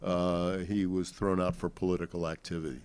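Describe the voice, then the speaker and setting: An older man with an American accent recounts family memories to an audience in a halting, deliberate, reflective way. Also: deep voice, little pitch movement, darkish tone. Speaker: panel speaker sharing family recollections. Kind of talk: unscripted storytelling to an audience.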